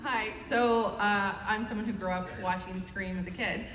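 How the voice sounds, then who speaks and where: A middle-aged woman puts a fan's question to a live panel. Her mid-range voice sounds far away in a reverberant hall, with clear noise around it. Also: mid-range voice, steady tone, moderate pace, a bit bright. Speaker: audience member asking a question. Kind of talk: excited fan question at live Q&A. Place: large indoor convention hall. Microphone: recorded from the audience through PA. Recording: echoey hall, distant, noisy crowd.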